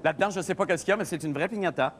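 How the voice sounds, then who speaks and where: Fast-talking TV host. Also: male voice, high voice, long reverb tail, a little hiss or hum. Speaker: comedy show host. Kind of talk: comic TV hosting. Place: TV studio set dressed as a living room.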